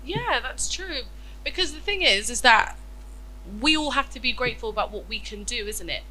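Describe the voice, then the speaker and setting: A woman's voice: fairly high pitch, animated, normal speed, pushed and bright, poor audio. Stuffy-nosed woman teaching children. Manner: encouraging, asks questions and praises. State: stuffy nose from a cold. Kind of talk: interactive lesson with children.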